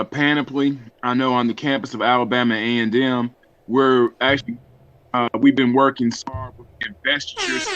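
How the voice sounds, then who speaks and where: A man's voice: mid-range voice, fairly bright tone, no echo. A man talking casually and at length as a radio panelist, informal and conversational, on slightly dull audio. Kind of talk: casual unscripted radio talk.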